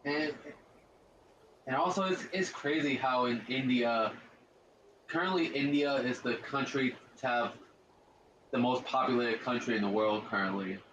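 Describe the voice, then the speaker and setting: Gaming streamer, man, deep voice, loose rambling commentary with a faint hiss. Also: a little slow, pauses often, reverberant, muffled recording. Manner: rambling, repeats himself, slangy. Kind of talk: casual let's-play commentary.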